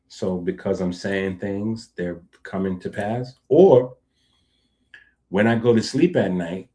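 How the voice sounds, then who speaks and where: Male host, low-pitched, casual riffing monologue with laughing asides. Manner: animated, laughing asides, conversational fillers.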